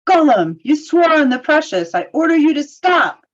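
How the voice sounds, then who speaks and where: A woman's voice: high-pitched, big pitch swings, normal speed, lo-fi sound. A woman playing a stern, commanding male hobbit in a table read of a fantasy script. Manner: firm, commanding.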